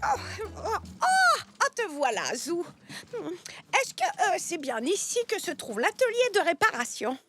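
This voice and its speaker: A woman's voice: very high-pitched, wildly swooping pitch, a little slow, a bit bright, faint music behind, heavily acted. An actress playing a fussy, hesitant elderly female zebra with a cane in a French children's cartoon. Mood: fretful.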